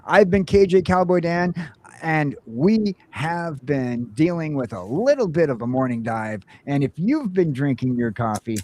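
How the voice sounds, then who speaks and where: A man's voice: a little high, lively intonation, unhurried, a little mellow. A man hosting a casual morning show, rambling with lots of fillers. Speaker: host.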